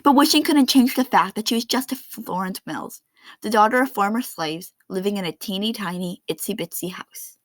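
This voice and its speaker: A woman reading a children's picture book aloud in an expressive storytelling style. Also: mid-range voice, no echo. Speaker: read-aloud narrator of children's books.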